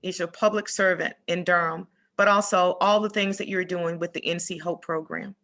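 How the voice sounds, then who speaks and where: Woman, forum moderator, gracious thanks, bright tense tone. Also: on the low side, steady tone, normal speed. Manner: gracious, thanking speakers and handing over. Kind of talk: closing remarks.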